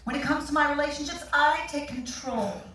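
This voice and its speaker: Casting: actress as imperious businesswoman, clipped and commanding, high forceful voice, stage play. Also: fairly quick, a little hiss or hum. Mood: stern, imperious. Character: domineering, blunt female corporate executive on a date. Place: small theatre, staged reading. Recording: echoey theatre stage recorded from far off.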